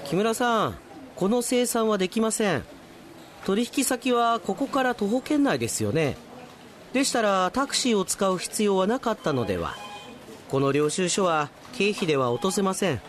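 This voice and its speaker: A man's voice: sits high, very animated, normal speed, a little hiss or hum. A man playing a strict, serious company accountant in a radio drama, speaking in formal, polite rebukes. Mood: stern, reproachful.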